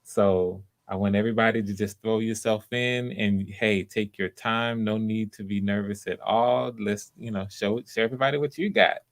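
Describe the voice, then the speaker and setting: Man, somewhat deep voice, encouraging casting host chatting casually on a live stream. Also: steady tone, moderate pace, few pauses.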